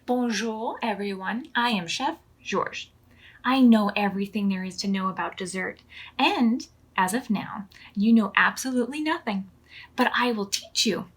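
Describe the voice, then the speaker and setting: A woman's voice: mid-range voice, moderate pace, husky, lightly acted. A woman voicing a know-it-all male pastry chef who instructs beginners in a children's storybook. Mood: boastful. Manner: boastful, instructing.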